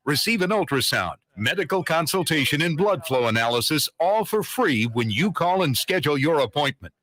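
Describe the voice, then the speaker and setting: Male ad voice, sharp and animated, upbeat scripted sales pitch. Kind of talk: scripted radio ad read.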